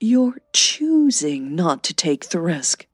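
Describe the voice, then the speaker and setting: A woman's voice: on the low side, sing-song, slow pace, breathy edge, dry recording, studio quality, soft background music, exaggerated voice. A woman voicing a middle-aged mother in an audio drama, blunt yet caring and emotional.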